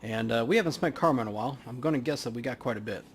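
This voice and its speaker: A man's voice: mid-range voice, very fast, vocal fry, slight background noise. A man hosting a let's play, giving casual, laid-back gaming commentary as he thinks aloud.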